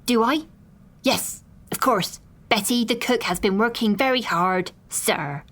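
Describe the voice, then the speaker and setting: A jittery young maid from a storybook, put on by a man reading aloud, squeaky and far above his own voice. She blurts everything out stiffly, tacking on "sir" again and again. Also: unhurried, a bit bright. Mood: stiff, blurting. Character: young nervous blurting housemaid girl.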